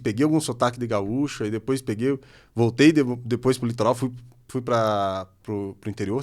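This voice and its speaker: A man in his forties from Minas Gerais with a Curitiba accent, a police officer telling his story as a podcast guest with easy humor. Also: lowish voice. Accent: Paraná (Curitiba). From Minas Gerais, Brazil. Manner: storytelling, conversational, self-deprecating humor. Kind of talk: casual podcast chat.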